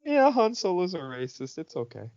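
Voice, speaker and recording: A man with a fairly high, very animated voice banters about film as a podcast co-host. He is sarcastic and sweary, acting out bits mockingly, on a very dry recording. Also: normal speed, dry recording, muffled recording. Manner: sarcastic, profane, mimics lines mockingly.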